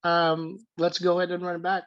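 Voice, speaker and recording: A man's voice: high voice, monotone, fairly quick, fairly bright tone, no room sound, lo-fi sound. A man in his forties from the Philippines, speaking casually as an organization's treasurer, hesitant with many fillers and restarts. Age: 40s. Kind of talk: casual video call remarks. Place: home room. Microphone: video call.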